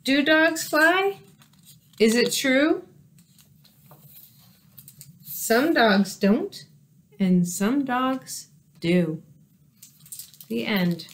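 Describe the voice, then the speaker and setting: A woman reads a picture book aloud for children. Her voice is high, and she takes her time, telling the story carefully and expressively. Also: expressive, extremely slow, pauses often. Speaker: storybook reader. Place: small room indoors by windows.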